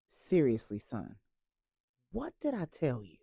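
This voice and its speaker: A woman's voice: rumbling low, wildly swooping pitch, slow, gravelly, dark tone, slightly rough, dry recording, clean studio sound, exaggerated voice. A woman voicing a regal, scolding mother and family matriarch with a formal, exasperated manner, in an audio story.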